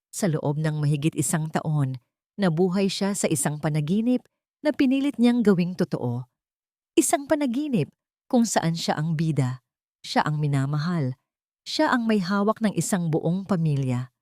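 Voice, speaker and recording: A woman's voice: sits low, a little mellow, dry recording, clean studio sound. A woman narrating a dramatic story in an emphatic, suspenseful way, often in short broken phrases. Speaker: audiobook narrator.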